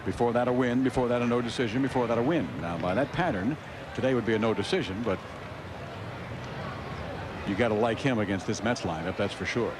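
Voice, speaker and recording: Male American baseball play-by-play announcer, lowish-key wry chat between clipped calls, heavy ballpark noise behind. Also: brisk, pauses often. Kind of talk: live radio baseball commentary. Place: open-air ballpark broadcast booth. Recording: very noisy outdoor ballpark broadcast.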